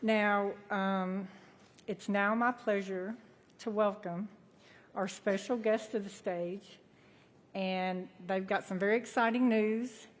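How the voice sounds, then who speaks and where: A woman's voice: medium pitch, steady tone, takes it slowly. Woman giving a speech in a reverberant hall. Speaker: airport authority board representative. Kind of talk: formal welcome speech at an announcement event. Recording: echoey hall, amplified event speech.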